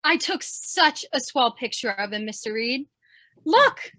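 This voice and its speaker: Woman playing a high, bright, brash girl photographer. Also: measured pace, dry recording, heavily acted. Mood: insistent. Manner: excitable, slangy. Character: eager, brash young woman news photographer. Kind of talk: old-time radio drama acting.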